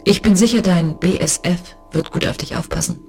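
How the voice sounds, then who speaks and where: A woman as a low-voiced, bitter inspector. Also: moderate pace, darkish tone, faint music behind, natural voice. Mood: coldly hurt. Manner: crisp, sardonic, reproachful. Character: bitter, lonely female space police inspector. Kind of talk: science fiction audio drama acting and narration.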